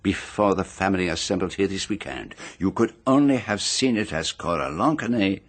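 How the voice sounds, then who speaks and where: An actor playing a shrewd, composed detective in a radio drama, deliberate and precise, with dramatic pauses. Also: male voice, low voice, lively intonation. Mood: grave, methodical. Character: shrewd, composed male detective laying out a murder case.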